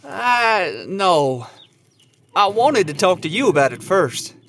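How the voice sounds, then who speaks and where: An earnest young suitor played by an actor in an audio drama. His voice sits high for a man and moves up and down freely, sheepish and devoted. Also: big pitch swings, slow, fairly bright tone, full character voice.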